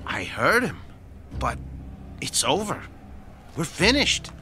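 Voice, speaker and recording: An actor playing an anxious sidekick in a radio drama, talking in a nervous, pleading, stammering way. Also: male voice, a little high, wildly swooping pitch, slow, sharp tone, slight background noise, full character voice.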